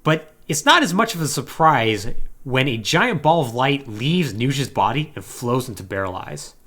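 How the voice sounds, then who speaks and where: Male podcast host, mid-pitched and very lively in intonation, casual slangy comedic storytelling. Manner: animated, slangy storytelling with mocking acted-out quotes. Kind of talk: casual comedic plot recap of a video game.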